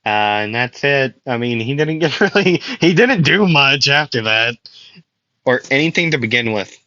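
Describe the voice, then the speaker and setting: A man's voice: mid-range voice, lively intonation, normal speed, bright, forceful tone, muffled recording. A young man casually telling a fan-made anime story, rambling with fillers and frequent laughs, his voice getting worn out. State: losing his voice.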